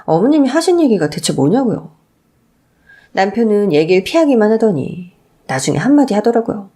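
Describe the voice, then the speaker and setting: A woman's voice: mid-range voice, sing-song, normal speed, mellow. A woman reading a scripted first-person story as a narrator, in a confiding storytelling manner.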